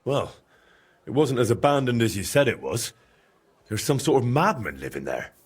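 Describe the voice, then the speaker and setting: An actor playing an earnest young medieval adventurer in a video game, direct and questioning, with a slightly husky voice. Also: male voice, medium pitch, sing-song, normal speed. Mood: recounting, uneasy. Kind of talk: video game dramatic dialogue.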